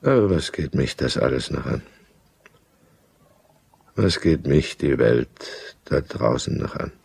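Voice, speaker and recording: A very deep, gravelly, broken man. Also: lively intonation, darkish tone, heavily acted. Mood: bitter resignation. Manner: resigned, deliberate, confessional. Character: broken, bitter male asylum inmate telling his downfall. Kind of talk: first-person radio drama narration.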